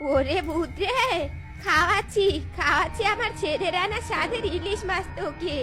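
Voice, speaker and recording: A bold old jackal mother-in-law from a cartoon , played by a woman, scolding and accusing. The voice is very high-pitched and nagging, with clear music behind it. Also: moderate pace. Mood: furious. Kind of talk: cartoon voice acting.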